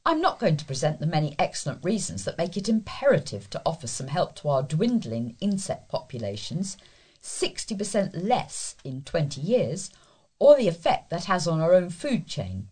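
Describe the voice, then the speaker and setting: A woman's voice: on the low side, big pitch swings, normal speed, a little mellow, slightly rough. A woman reading newspaper letters aloud as a volunteer news reader.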